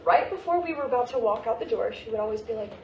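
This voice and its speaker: A woman's voice: a little high, expressive, fast talker, lo-fi sound. Woman playing earnest teenage girl, distant and echoey. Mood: matter-of-fact. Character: Ivy, grieving seventeen-year-old half-Japanese American girl, practical daughter. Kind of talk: staged reading of a play. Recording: roomy, distant mic, faint noise.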